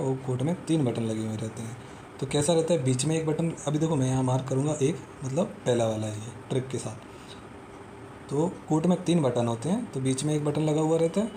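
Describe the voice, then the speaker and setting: Mellow-voiced man teaching exam tricks. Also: medium pitch, a little hiss or hum. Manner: repetitive, peppers talk with "theek hai" and "hai na"; storytelling, explains step by step and repeats. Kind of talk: casual explanatory lesson with mnemonic tricks.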